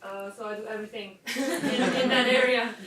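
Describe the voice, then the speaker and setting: A woman's voice: fairly high pitch, normal speed, a crowd in the background. Woman in an echoey room. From Slovenia. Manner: hesitant, with fillers and short laughs. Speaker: workshop participant. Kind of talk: self-introduction in a group. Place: workshop room. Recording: echoey room, far mic, hiss.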